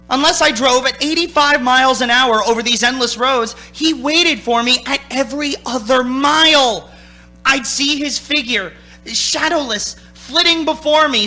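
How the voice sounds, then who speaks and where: A man plays a haunted young driver coming apart in a radio drama. The voice is bright and forceful, telling the tale with halting, desperate intensity. Music sounds faintly behind it. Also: very high voice, full character voice. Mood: haunted, intense. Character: frightened young man driver, unravelling, narrating his ordeal. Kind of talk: dramatic radio play acting.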